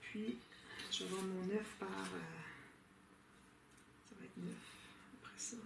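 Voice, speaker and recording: A woman's voice: mid-range voice, steady tone, unhurried, gravelly, recorded from a distance. A woman with a Québécois French accent hosting a casual scrapbooking tutorial, chatty and thinking aloud with frequent fillers. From Quebec, Canada. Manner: chatty, thinks aloud with many "euh" fillers and small laughs. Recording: roomy, off-mic, with loud background noise.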